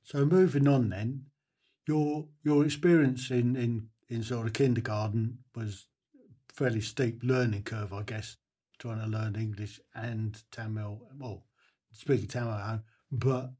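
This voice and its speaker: A man interviewing casually, asking questions in a halting, hesitant way that tends to trail off. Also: mid-range voice, dark tone, slightly rough.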